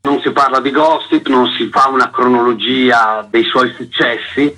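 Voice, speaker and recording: Bright, husky male actor in interview. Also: medium pitch, fairly even intonation, a little slow, rough recording. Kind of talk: conversational radio interview.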